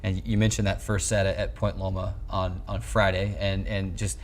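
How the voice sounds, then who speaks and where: A man's voice: sits low, level tone, normal speed, a little hiss or hum. A man in his twenties with an American accent, hosting a sports interview on a lapel mic. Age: 20s. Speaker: sports show host interviewing a coach. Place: small indoor locker-room set.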